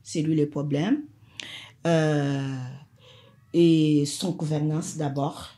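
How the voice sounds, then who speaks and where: A woman from Congo, a guest in an interview, answering slowly and hesitantly. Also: deep voice, level tone, warm tone. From Democratic Republic of the Congo. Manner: slow, hesitant.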